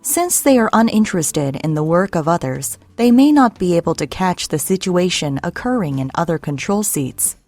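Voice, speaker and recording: A woman's voice: fairly low pitch, animated, moderate pace, hardly any pauses, darkish tone. A woman reading a scripted explainer narration in a formal, read-out manner. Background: background music.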